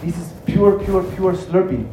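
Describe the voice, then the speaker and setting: A man voicing an eager, pushy shopkeeper in a children's story, repeating words like an excited salesman. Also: high voice, measured pace, voice pushed up. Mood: insistent, defensive. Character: eager male Indian Slurpee shopkeeper, pushy and effusive. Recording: roomy echo, light background noise.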